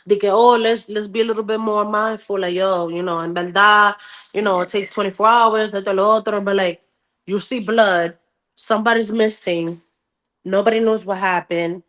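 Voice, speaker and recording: A woman's voice: medium pitch, fairly even intonation, normal speed, fairly bright tone. Emphatic woman in slangy, repetitive chat. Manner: emphatic, slangy, repeats phrases. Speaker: book club podcast host. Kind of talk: casual bilingual chat with dramatized retelling.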